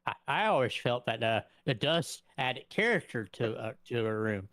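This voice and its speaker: A man bantering casually in character as a player in a tabletop roleplaying game, with halting, drawn-out phrasing. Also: mid-range voice, lively intonation, a bit bright, no echo.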